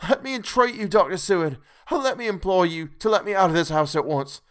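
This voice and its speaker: A man's voice: sits high, expressive, quick pace, fairly bright tone, pitched above the performer's own voice. A man voicing a frantic male asylum patient who pleads desperately to be released. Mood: frantic pleading. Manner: desperate, pleading, rising to shrieks. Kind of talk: audiobook character voice.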